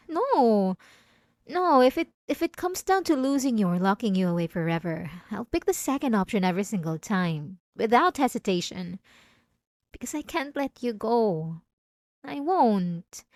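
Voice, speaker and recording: A woman's voice: fairly high pitch, no room sound, clean studio sound. A woman playing a possessive, obsessive wife in an intimate, emotional ASMR roleplay, speaking straight to the listener. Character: possessive, obsessive yandere wife, clingy and menacing.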